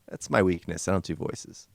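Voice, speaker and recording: A man's voice: deep voice, a little slow, gravelly, dry recording. A man co-hosting a podcast, chatting casually and making fun of himself. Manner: joking, self-mocking. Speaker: podcast co-host. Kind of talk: casual chat.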